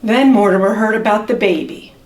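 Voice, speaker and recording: A woman reading a children's story aloud with measured, expressive storytelling. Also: medium pitch, background noise. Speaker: storybook reader. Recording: roomy echo, distant microphone.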